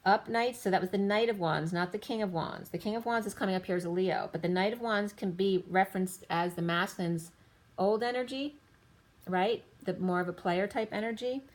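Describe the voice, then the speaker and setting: Woman reading tarot cards, rambling delivery, slightly hoarse, mid-pitched voice. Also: a little fast. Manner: rambling, lists card after card in loose fragments. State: slightly losing her voice. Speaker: tarot reader.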